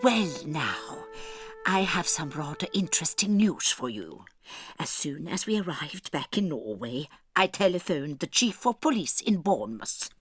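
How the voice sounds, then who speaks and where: Husky, scheming old grandmother. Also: low voice, very animated, unhurried, faint music behind, heavily acted. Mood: sly, pleased. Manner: dramatic, conspiratorial, relishing. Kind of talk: audiobook full-cast drama acting.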